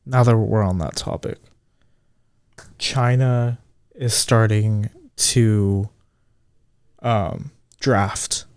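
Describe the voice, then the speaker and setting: A man's voice: fairly even intonation, very slow, pauses often, smooth, no room sound. Man in his twenties, close dry podcast sound. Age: 20s. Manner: swears freely and voice jumps high when indignant. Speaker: podcast co-host. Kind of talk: casual podcast chat.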